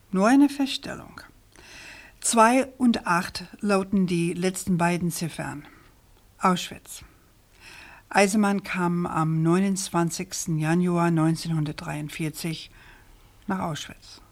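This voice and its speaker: An actress playing a probing, composed woman journalist in a German radio crime drama, speaking in a measured, articulate and insistent way. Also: fairly low pitch. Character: persistent, probing female journalist, composed and precise.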